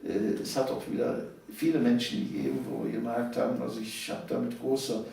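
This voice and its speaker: A man's voice: mid-range voice, flat delivery, a little fast, vocal fry, warm tone. An older man, reminiscing casually in conversation and often checking for agreement with short tags. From Cologne, Germany. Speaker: interview guest, author. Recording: very echoey room, distant mic.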